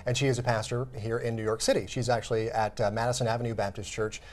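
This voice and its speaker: A man's voice: mid-range voice, level tone, quick pace, a little mellow. Chatty American male news co-host. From southern United States. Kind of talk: casual co-anchor chat.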